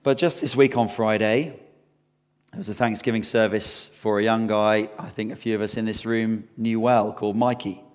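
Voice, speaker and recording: A man preaching a sermon and leading prayer, hoarse from losing his voice, in an earnest, conversational way. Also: fairly low pitch, fairly even intonation, normal speed, in a large hall.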